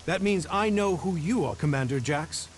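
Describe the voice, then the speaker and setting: Man playing a high-voiced, stern resistance leader. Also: expressive, normal speed, a little hiss or hum, lightly acted. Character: wary, commanding leader resisting an enemy faction. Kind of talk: video game voice acting.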